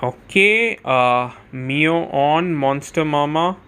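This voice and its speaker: A man's voice: fairly high pitch, lively intonation, slow pace, pushed and bright, clear, resonant tone. A man giving casual, chatty live commentary on a game as a streamer, thinking aloud as he goes.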